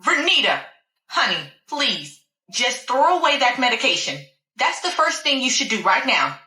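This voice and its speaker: A woman's voice: fairly high pitch, very animated, moderate pace, roomy sound, voice pushed up. A woman putting on a pleading doctor. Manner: gentle, coaxing, calls the listener honey and dear. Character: concerned female doctor, soothing and pleading.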